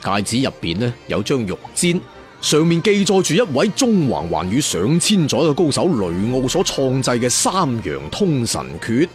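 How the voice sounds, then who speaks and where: A male Cantonese storyteller narrates a fantasy serial in a very lively, swinging delivery. He is theatrical, slipping in chatty asides and leaning on his endings. Faint to clear music plays behind him. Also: medium pitch. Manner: theatrical, conversational asides, drawn-out emphatic endings.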